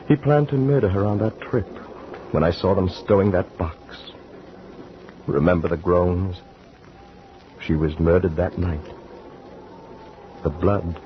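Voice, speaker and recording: An actor playing a shaken man who witnessed a murder at sea, telling it in halting words with stammered repeats, in 1940s radio drama style. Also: deep voice. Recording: dull old radio recording with faint hiss.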